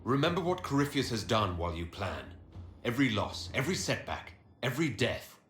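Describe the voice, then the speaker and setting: An actor playing a resolute Inquisitor in a video game, speaking with firm, measured authority. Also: male voice, mid-range voice, sing-song, a bit bright. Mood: commanding, resolute. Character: commanding male Inquisitor, resolute military leader. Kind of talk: dramatic video game acting. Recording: roomy echo, faint music behind.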